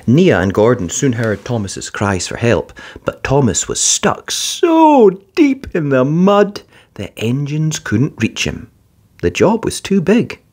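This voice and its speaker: A narrator reading a children's picture book aloud in an expressive storytelling style. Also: mid-range voice, takes it slowly.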